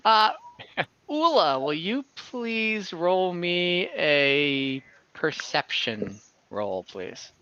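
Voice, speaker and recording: A woman runs a tabletop game in a forward, bright voice at a leisurely pace. She narrates informally, feeling her way through sentences and rewording them. The online call audio is a little dull. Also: fairly low pitch, very animated, smooth. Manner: halting, informal, prone to rephrasing. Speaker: game master. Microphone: online group call.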